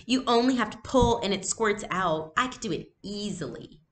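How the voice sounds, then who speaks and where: A woman's voice: mid-range voice, animated, normal speed, voice pushed up, lightly acted. A woman reading a storybook, voicing a boastful, insistent and stubborn little girl.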